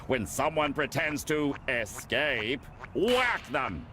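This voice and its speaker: An actor playing a pompous, impatient, bossy film director villain in an animated video game. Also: male voice, medium pitch, slow, exaggerated voice. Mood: scheming, bossy. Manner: bossy, self-important, sarcastic.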